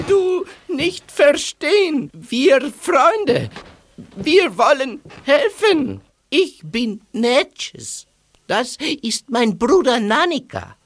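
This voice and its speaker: Earnest young Native man from Mexico played by a woman, high and animated, halting foreign speech, radio play. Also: heavily acted. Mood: reassuring, urgent. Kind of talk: audio drama acting.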